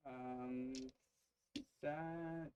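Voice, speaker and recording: A man's voice: medium pitch, steady tone, very slow, smooth, dull audio. A man playing a board game, talking casually and reading card text aloud.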